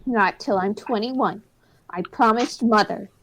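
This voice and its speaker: A woman's voice: medium pitch, measured pace, a bit bright, rough recording. A woman voicing a bratty, stubborn little boy in a radio play, whiny and demanding. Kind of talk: hobby radio play acting.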